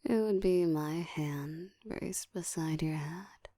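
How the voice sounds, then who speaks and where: A woman playing a seductive, domineering ancient vampire in an intimate ASMR roleplay, speaking in a slow, breathy whisper with a teasing, commanding manner, close to the mic. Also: low voice, dark tone, no echo, full character voice. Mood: dark, domineering. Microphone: close microphone.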